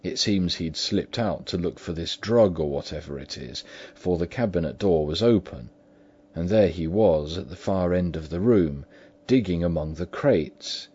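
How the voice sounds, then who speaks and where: A man's voice: sits low, unhurried, dark tone, husky. A man voicing a frightened, loyal old butler who speaks urgently and pleads emphatically. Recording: dull lo-fi recording.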